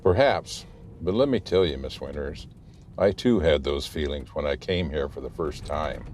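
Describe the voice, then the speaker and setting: Guy acting a super deep solemn local guide, formal n careful, audio drama, noisy background. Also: normal speed, darkish tone. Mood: grave, warning. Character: grave, cautious male small-town guide warning a reporter.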